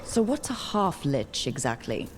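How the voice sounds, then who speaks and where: An actress playing a curious, plain-spoken adventurer heroine in a fantasy video game, direct and conversational. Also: female voice, extremely low pitch, big pitch swings, unhurried, noisy background, heavily acted. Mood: probing. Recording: game music playing behind.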